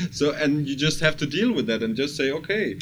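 A man's voice: fairly high pitch, lively intonation, normal speed. A man from Denmark with a Danish accent, talking casually and earnestly as a music producer in an interview, at discursive length with some swearing. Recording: clear background ambient noise.

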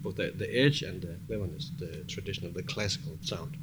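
A man's voice: sits low, a little fast. A man talking casually and informally as a music producer being interviewed, with slightly non-native English phrasing. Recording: clearly noisy background ambience.

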